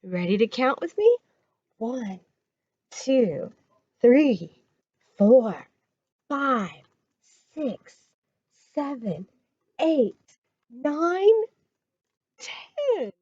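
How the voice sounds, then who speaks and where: Casting: American woman as children's storytime reader, very slow and encouraging, widely swooping pitch, dull lo-fi sound. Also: big pitch swings, darkish tone, breathy edge. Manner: sing-song, encouraging, addresses children directly. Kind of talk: children's read-aloud and counting rhymes. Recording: dull lo-fi audio.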